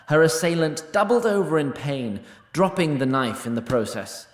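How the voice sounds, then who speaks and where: A man narrating a scripted fantasy story in a measured, dryly wry manner. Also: a little high, sing-song, reverberant, slight background noise.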